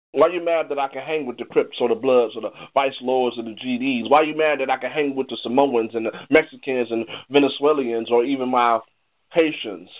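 A man performing spoken word poetry in an emphatic, confrontational manner full of rhetorical questions. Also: medium pitch. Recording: thin, phone-like band-limited sound.

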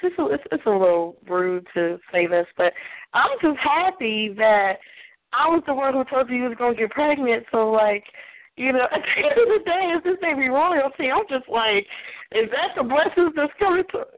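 A woman's voice: high voice, lively intonation, moderate pace, no room sound. Giggly, effusive female host, heard over a tinny, dry phone line. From Nashville, Tennessee. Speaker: radio talk show host. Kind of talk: casual chat.

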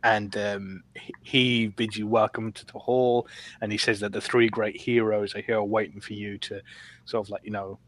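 A man chatting casually on a podcast panel, recounting gameplay in a rambling, halting way. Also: lowish voice, little pitch movement, a bit bright.

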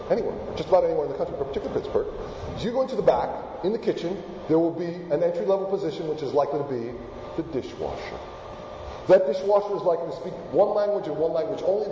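A man from Argentina gives an animated talk in a large, echoing hall. His voice sits somewhat high but sounds mellow and warm. The recording is dull, and faint room noise sits underneath. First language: Spanish. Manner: animated, asks the audience questions. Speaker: keynote speaker. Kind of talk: lively presentation to a live audience.

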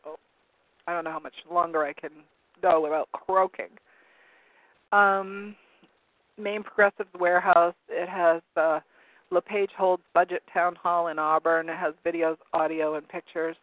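A hoarse man losing his voice, hosting a call-in talk show in a rambling, casual way while reading from web pages. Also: fairly high pitch, level tone, unhurried, no echo. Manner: rambling, searching for words with many ums. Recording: narrow phone-like line, dry.

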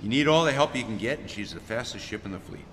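An actor playing a gruff, sincere space smuggler pilot in a radio drama, earnest and insistent. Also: male voice, medium pitch, animated, fast talker, close to an everyday voice. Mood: earnest concern. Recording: long reverb, faint background noise.

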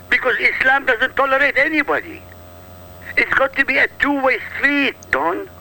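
Talk radio regular, male, from Iraq; high, swooping, gravelly delivery, combative and punchy. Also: bright, forceful tone, low-quality recording. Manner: emphatic, combative, punchy short sentences. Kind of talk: heated political talk radio.

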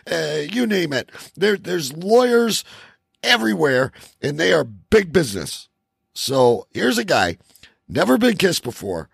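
Man, talk show host, gravelly fry, close dry sound, rambling and joking, casual radio banter. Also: a little high, dry recording.

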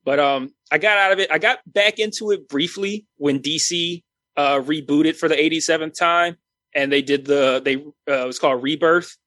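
A man's voice: fairly high pitch, normal speed, sharp tone, dry recording. A man in his thirties chatting casually as a podcast co-host, rambling with many restarts and fillers. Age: 30s.

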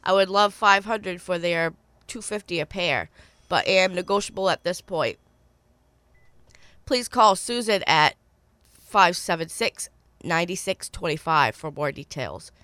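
A woman with a cold hosting a call-in swap show on the radio, reading listings and repeating phone numbers slowly and clearly. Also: lowish voice, expressive, a bit bright, no room sound. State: stuffy from a cold.

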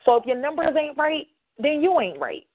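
A woman hosting a podcast who talks straight to her listeners in a loose, rambling way, full of fillers and sudden rises in pitch. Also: very animated, moderate pace, no echo. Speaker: podcast host. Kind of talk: casual monologue to listeners. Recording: tinny dry phone-like line.

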